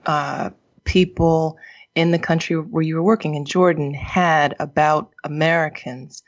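A woman's voice: low-pitched, fairly even intonation, unhurried. A woman interviewer asking long questions and correcting herself as she goes. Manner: rambling questions with self-corrections. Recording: muffled lo-fi audio.

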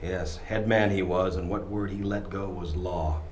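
Low-voiced, echoey lighthouse keeper spinning a horror tale. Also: male voice, steady tone, darkish tone. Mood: wry. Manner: theatrical, savoring, deliberate storytelling with drawn-out phrases. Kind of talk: dramatic first-person radio play narration. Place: on location inside an unused lighthouse. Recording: echoey stone lighthouse interior, clear background noise.

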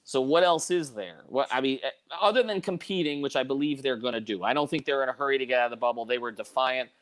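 A man's voice: medium pitch, quick pace, a bit bright. A man hosting a casual sports panel discussion, repeating and restarting phrases for emphasis. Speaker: host.

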